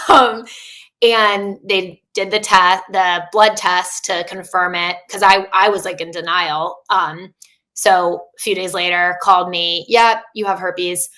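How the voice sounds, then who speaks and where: A woman's voice: fairly low pitch, fairly even intonation, takes it slowly, sharp tone, low-quality recording. A woman in her thirties, a coach talking as a podcast guest, speaking openly in a chatty way with many fillers and restarts. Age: 30s. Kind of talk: candid casual interview.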